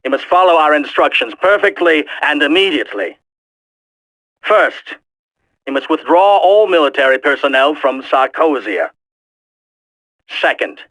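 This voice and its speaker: Male actor as game villain, terrorist commander, tense sharp tone, medium pitch, formal, resolute, threatening. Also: no room sound, natural voice, low-quality recording. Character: menacing, coldly resolute male terrorist leader. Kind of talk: video game villain monologue.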